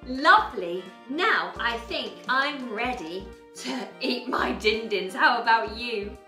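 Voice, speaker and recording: A woman's voice: a little high, lively intonation, takes it slowly, sharp tone, soft background music. A young woman presenting a playful children's cooking show in a home kitchen, bubbly and sing-song. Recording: echoey kitchen.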